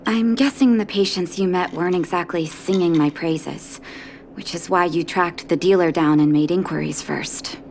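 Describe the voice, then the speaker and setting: Woman acting a low-voiced, weary doctor. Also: a little mellow, a little hiss or hum, natural voice. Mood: calm, grateful. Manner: measured, serious, sighing pauses. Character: principled, weary adult woman doctor, calm and caring. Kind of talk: video game voice acting.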